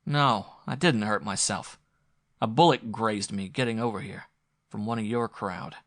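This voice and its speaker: A man's voice: on the low side, brisk. A man reading aloud and voicing a wounded, hunted man who sneers and jeers bitterly. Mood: heated. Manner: sneering, jeering, loud.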